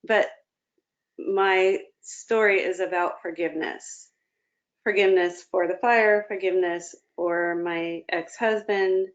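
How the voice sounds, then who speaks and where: A woman's voice: medium pitch, fairly even intonation, slow pace. A woman talking casually and reflectively as an interview guest, with an encouraging manner. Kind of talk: casual, reflective personal talk. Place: remote video call. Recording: dull lo-fi video-call audio.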